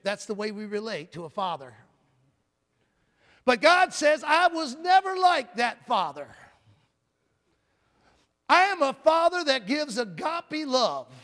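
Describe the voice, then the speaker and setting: A man preaching a sermon with a loud voice, often calling out "Amen?" and swinging from booming to soft. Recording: long hall reverb.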